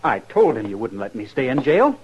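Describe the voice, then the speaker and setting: A man's voice: medium pitch, big pitch swings, brisk, natural voice, poor audio. An actor plays a spoiled, cowardly young man from a proud family in a vintage radio western. His voice swings dramatically up and down as he justifies himself. The old recording has a light layer of noise. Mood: haughty. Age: young adult. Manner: pleading, self-justifying, entitled. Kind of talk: 1950s radio western acting.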